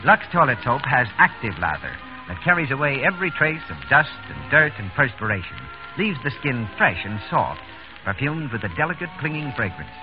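A man's voice: medium pitch, big pitch swings, normal speed, music in the background. A male announcer reading a scripted 1930s radio advertisement in a polished, persuasive manner. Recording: dull old radio recording.

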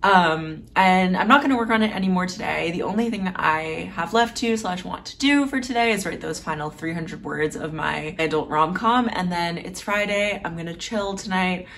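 A woman's voice: on the low side, moderate pace, gravelly, few pauses, fairly bright tone, slight background noise. Young woman chatting casually, slightly distant mic. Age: young adult. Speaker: novelist vlogger. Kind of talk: casual vlog chat to camera. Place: small home room with desk.

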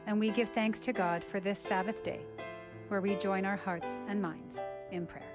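Woman worship leader, medium pitch, formal measured liturgical delivery, church hall reverb. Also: steady tone. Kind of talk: scripted liturgical reading. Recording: reverberant hall, faint music.

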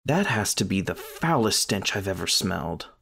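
A man acts out a male demon bully's sidekick in an audio drama. His voice sits low. He speaks with haughty contempt and disgust. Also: very animated, moderate pace, creaky, fairly bright tone, slightly rough, heavily acted. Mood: contemptuous disgust. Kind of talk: audio roleplay acting.

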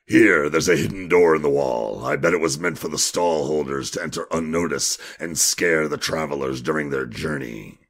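A man acts a gruff, impatient adventurer in an audio drama. The voice is low and gravelly, full of fry. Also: normal speed, few pauses, fairly bright tone, heavily acted. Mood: urgent. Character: gruff, impatient male man of action, Mick.

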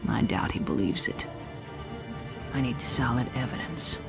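Sly young vigilante, very low female voice, defiant, teasing, breathy touches, game cutscene, music behind. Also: lively intonation, a little slow, in a whisper, dull audio, full character voice. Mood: earnest, urgent. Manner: teasing, breathy at times, wry. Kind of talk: video game cutscene acting.

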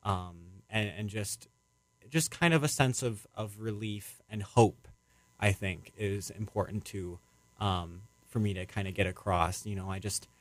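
A man's voice: fairly low pitch, a little slow, no room sound. A young man answering interview questions as a playwright, casually, in a hesitant, rambling and reflective way.

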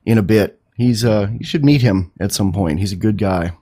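A man's voice: lowish voice, normal speed, dark tone. A man hosting a podcast in casual conversation, talking in a rambling, enthusiastic way with some swearing. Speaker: podcast host.

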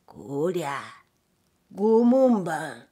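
A woman voicing a male samurai who fakes an eerie, gloomy, drawn-out calling voice in a period story. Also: lowish voice, big pitch swings, warm tone, husky, full character voice. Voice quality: hollow, sinister, muffled gloom. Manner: slow, drawn-out calling to a gatekeeper. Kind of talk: dramatic storytelling of a period novel.

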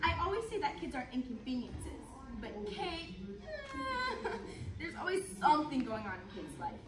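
A woman's voice: high-pitched, big pitch swings, moderate pace, background noise. A young woman playing an earnest youth pastor telling her story in a projected, theatrical readers theater style. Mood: earnest, reflective. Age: young adult. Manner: projected, emphatic, theatrical delivery. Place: large conference room, judges' table in front. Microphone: distant camera. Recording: echoey room recorded from far off, audience sounds.

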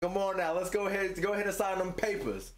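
A young American man giving casual, excited reaction commentary, slangy and playful, often repeating his phrases. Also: sits high, fast. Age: young adult. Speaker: reaction video host. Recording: loud game music behind voice.

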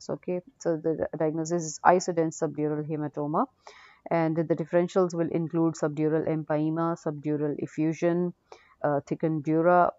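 A woman with a low, mellow voice teaches as a radiology lecturer, talking through cases without a script. She explains hesitantly and repeats herself. The recording is dry and muffled. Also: no echo. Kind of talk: unscripted case-review lecture. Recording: dull, dry lo-fi audio.

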